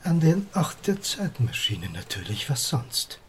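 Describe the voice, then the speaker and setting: A man's voice: fairly high pitch, very animated, measured pace, breathy edge, heavily acted. An actor playing a proud, impatient time-travelling male writer in a radio play, insistent and exasperated in manner. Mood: urgent, impatient. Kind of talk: German radio drama dialogue.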